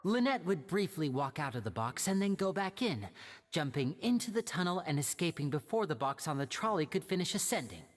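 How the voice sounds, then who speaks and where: A young male stage magician in a video game. The voice is fairly low and swings expressively, with a showman's smooth confidence. Music plays behind, in a big echoing space. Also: very animated, brisk. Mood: smooth, playful. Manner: smooth, theatrical, explanatory. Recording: reverberant hall with music behind.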